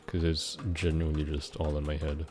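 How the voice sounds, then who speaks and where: A young man of about nineteen from Switzerland, rambling unscripted in a halting, meandering way while he plays a game, with casual swearing. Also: very deep voice, monotone, a little mellow. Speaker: gaming vlogger.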